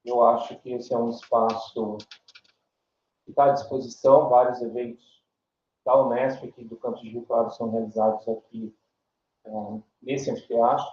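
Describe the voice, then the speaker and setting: A man giving halting opening remarks as moderator at an academic workshop, introducing speakers. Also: medium pitch, flat delivery. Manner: halting, slow introductions with many fillers. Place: small amphitheater on a university campus. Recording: distant, roomy, dull livestream audio.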